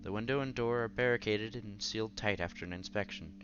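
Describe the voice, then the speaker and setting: Low-pitched man reading over music. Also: a little fast, highs cut off. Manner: breaks off to react conversationally. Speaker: video game streamer reading a visual novel aloud. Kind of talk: dramatic reading with casual asides. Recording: music under the voice. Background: background game music.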